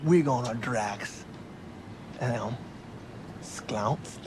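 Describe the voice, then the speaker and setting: A cocksure male sidekick passenger who talks in made-up slang and sounds sure of himself. His middle-pitched voice sits under a clear layer of noise from the sketch audio. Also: extremely slow. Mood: boastful. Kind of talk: sketch comedy acting.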